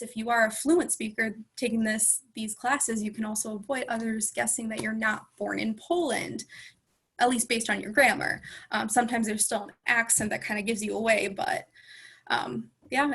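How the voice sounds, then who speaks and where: A woman's voice: a little high, fairly bright tone, slightly rough. A young woman in her twenties chatting casually as a guest speaker, with lots of ums and restarts. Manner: chatty, many ums and self-corrections. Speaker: guest speaker, former student. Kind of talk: casual panel conversation.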